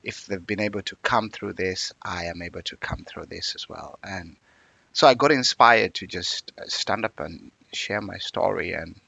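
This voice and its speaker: A man's voice: low-pitched, moderate pace, gravelly, bright, forceful tone, no room sound. A man, telling his life story as an interview guest in a reflective, earnest way. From Uganda.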